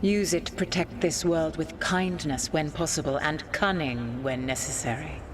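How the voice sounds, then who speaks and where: An actress playing an ancient, proud elven goddess in a video game, with formal, measured, commanding speech. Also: female voice, deep voice, fairly even intonation, background noise, lightly acted. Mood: solemn, accepting. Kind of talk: dramatic video game acting.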